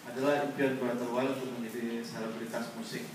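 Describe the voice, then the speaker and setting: A man working as a live interpreter, paraphrasing quickly with frequent fillers. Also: medium pitch, little pitch movement. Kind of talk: live consecutive translation. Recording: echoey hall, far mic, loud noise.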